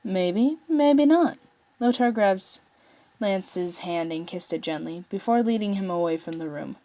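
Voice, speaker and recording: A woman's voice: lowish voice, moderate pace, warm tone. Woman reading a story aloud expressively. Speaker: amateur fan fiction reader.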